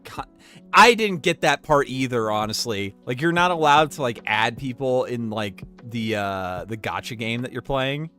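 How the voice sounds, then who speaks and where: A man with a stuffed, sniffly nose talks through a casual stream at a middle pitch. He rambles, trips over his words and starts lines again. Soft music plays faintly behind him. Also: lively intonation, normal speed. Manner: rambling, stammering restarts.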